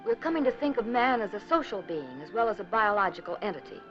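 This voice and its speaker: A woman delivering scripted 1950s documentary narration in an earnest, measured, rhetorical manner. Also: mid-range voice, very animated, a bit bright. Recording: dull 1950s film soundtrack, music behind. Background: background music.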